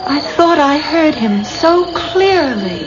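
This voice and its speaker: An actress playing a grieving, dreamy young bride in a 1970s radio drama, earnest and pleading. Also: female voice, high-pitched, big pitch swings, slow pace, full character voice. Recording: muffled, noisy old radio broadcast.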